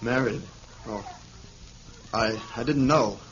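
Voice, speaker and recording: A man's voice: mid-range voice, slow pace, a bit bright, slight background noise. An actor playing an earnest, anguished man in his twenties, hesitant and emotional, in an old-time radio drama. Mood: downcast, hesitant. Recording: muffled vintage radio recording, music behind.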